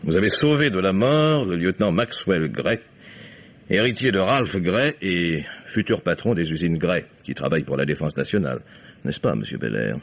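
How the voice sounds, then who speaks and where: An actor playing an older male investigator in an old French radio mystery, courteous and insinuating, with measured irony. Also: low voice, expressive, creaky, full character voice. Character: shrewd older investigator, courteous, ironic, accusing father. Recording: dull vintage radio recording.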